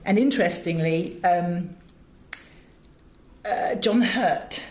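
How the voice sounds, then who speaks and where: A woman's voice: fairly low pitch, takes it slowly, a little hiss or hum. A middle-aged English woman talking as an interview guest, chatty, articulate and given to digressions as she tells stories. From England. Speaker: interview guest, voice director and radio producer. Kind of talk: conversational interview, storytelling. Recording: echoey, roomy sound.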